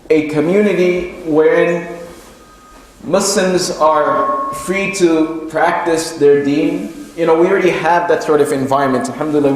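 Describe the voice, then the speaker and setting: Heavy echo and long reverb surround this man as he delivers an Islamic sermon. His voice sits a little high for a male speaker. He explains each point deliberately, repeating phrases as he builds them. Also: slight background noise. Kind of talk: sermon, explanatory religious lecture. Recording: very echoey hall with long reverb.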